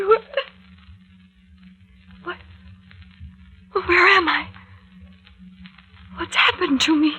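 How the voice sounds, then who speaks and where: A woman's voice: very high voice, sharp tone, exaggerated voice, poor audio. An actress with an American accent playing a frightened, wounded young woman, breathless and wailing in 1940s radio melodrama style. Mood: dazed, wailing. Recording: vintage 1940s radio recording.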